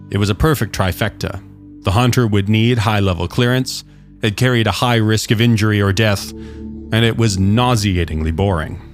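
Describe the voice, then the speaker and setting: A man reading his own science fiction short story as a scripted audiobook narration. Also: lowish voice. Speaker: author and narrator of short stories.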